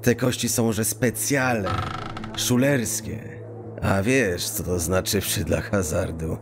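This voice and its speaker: Casting: male actor as chummy, scheming street thief, low and very unhurried, archaic turns of phrase, audio drama. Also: creaky, over music, full character voice. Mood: conspiratorial. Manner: mock-archaic, quirky halting phrasing, deliberate. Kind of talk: acted fantasy audio drama dialogue.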